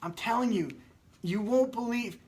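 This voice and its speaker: A man's voice: very high voice, moderate pace, pitched above the performer's own voice. A man voicing an earnest, wide-eyed young boy in a storybook read-aloud, eager and full of questions. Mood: excited.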